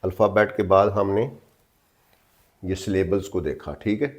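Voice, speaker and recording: Man, very low voice, grammar teacher, repetitive, spells words letter by letter. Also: fairly even intonation, a little slow. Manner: repetitive, checks in often with filler confirmations. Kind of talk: explanatory lesson in mixed Hindi-Urdu and English.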